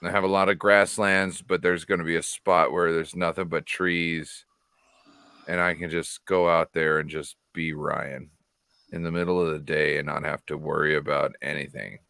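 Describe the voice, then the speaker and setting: A man's voice: extremely low pitch, creaky, pushed and bright, no echo, clean studio sound. A man from California chatting casually as a show host, rambling and swearing freely. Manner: loose, profane and rambling. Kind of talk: casual chat.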